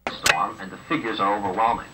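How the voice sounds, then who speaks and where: A man's voice: on the low side, steady tone, fast talker. A man presenting the news, reading scripted broadcast narration. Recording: old home videotape of TV news, distant, hissy.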